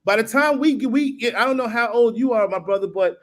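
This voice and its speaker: A man's voice: extremely high pitch, brisk. A man in his forties from New York, talking casually on a live video call. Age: 40s. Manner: relaxed and conversational. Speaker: guest. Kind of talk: casual live-stream chat.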